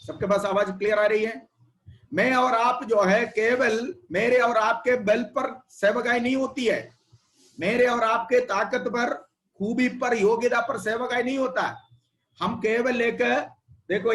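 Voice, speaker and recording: A man with a high voice leads a Bible study over an online call. He repeats key words and prompts his listeners as he teaches. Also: fairly bright tone. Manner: repeats words, prompts the reader, checks if heard.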